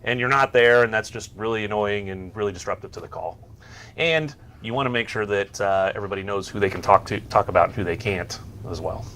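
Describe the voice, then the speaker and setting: A man's voice: on the low side, brisk, a bit bright, a little hiss or hum. A man co-hosting a workplace tech show, giving semi-scripted tips in a casual, instructional way with some bantering asides.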